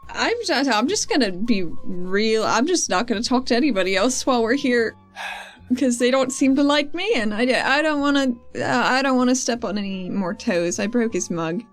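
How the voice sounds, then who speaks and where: A woman's voice: a little high, expressive, moderate pace, soft background music, close to an everyday voice. Woman playing a dejected, hurting young female adventurer. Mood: defensive, guilty.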